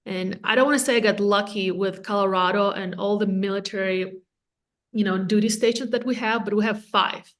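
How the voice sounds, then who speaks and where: A woman's voice: mid-range voice, brisk. A woman in her thirties from Latvia with a Latvian accent, a real estate agent speaking candidly and energetically as an interview guest. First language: Russian or Latvian. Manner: candid, energetic, self-deprecating. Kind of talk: casual interview chat.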